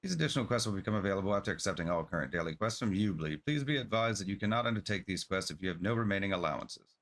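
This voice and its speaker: A man's voice: sits low, lively intonation, racing, fairly bright tone. A man streaming a video game, reading quest text aloud and adding casual comments.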